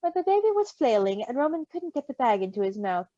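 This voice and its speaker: A woman with a fairly high, lively voice reads a story aloud and drops in gushing asides. Her delivery is very expressive and animated. The recording is close and dry but a little dull and lo-fi. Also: quick pace, no room sound. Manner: expressive, gushing, giggly reactions. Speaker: story reader. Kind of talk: animated read-aloud of fan fiction with casual asides.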